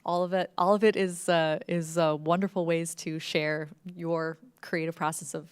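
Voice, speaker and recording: Smooth-voiced woman presenting informally. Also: fairly low pitch, fairly even intonation, normal speed, no room sound, studio quality. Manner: conversational, enthusiastic, wordy with frequent "like". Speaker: workshop presenter, fiction podcast creator.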